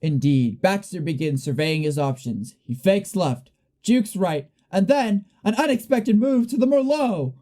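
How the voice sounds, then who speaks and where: An excitable play-by-play sports announcer in a radio comedy, acted by a young woman. The delivery is showy and dramatic, with big emphasis on each call, yet the tone stays mellow and rounded. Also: on the low side, a little slow. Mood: excited, amazed. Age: young adult. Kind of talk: parody live sports commentary in a radio play.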